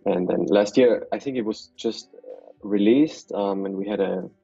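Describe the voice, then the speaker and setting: Deep husky man from Austria on a call. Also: normal speed, no room sound, muffled recording, soft background music. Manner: relaxed, hesitant, many fillers. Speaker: guest, software developer. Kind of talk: casual video call interview. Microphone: video call.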